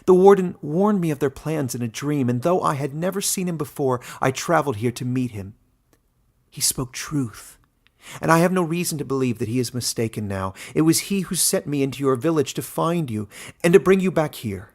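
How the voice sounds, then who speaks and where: An earnest woman traveler from a fantasy storybook, as a male reader plays her. She is loyal and urgent, pleading her case. The voice carries some creak. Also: a little high, lively intonation, moderate pace, a little mellow, voice pushed up.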